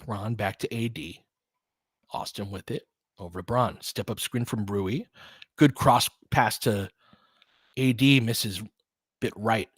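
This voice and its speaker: A man's voice: fairly low pitch, takes it slowly, pauses often, fairly bright tone, breathy edge, no echo. A man giving informal live basketball play-by-play as a fan-facing host, opinionated and conversational, in clipped phrases.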